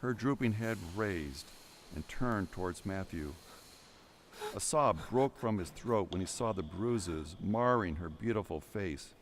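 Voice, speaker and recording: A man with a low voice narrates an audio drama. He reads scripted prose in a dramatic storytelling manner, with faint noise behind him. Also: animated, unhurried.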